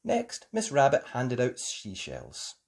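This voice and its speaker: A woman reading a children's picture book aloud in a lively, sing-song storytelling way. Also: extremely low pitch, takes it slowly, vocal fry, slightly rough. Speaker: storybook reader.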